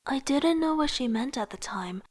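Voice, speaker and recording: Soft, higher-voiced young woman, wistful as acted by a woman. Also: animated, normal speed. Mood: self-doubting. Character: young aspiring artist woman, wistful and self-doubting. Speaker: narrator and lead character. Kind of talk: reflective first-person narration with dialogue.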